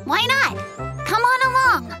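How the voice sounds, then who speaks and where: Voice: very high voice, very animated, unhurried, over music, heavily acted. An actor voicing a cautious, sensible and friendly little brown bear cub in a children's cartoon, speaking earnestly. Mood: friendly, calm. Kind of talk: children's animated cartoon dubbing.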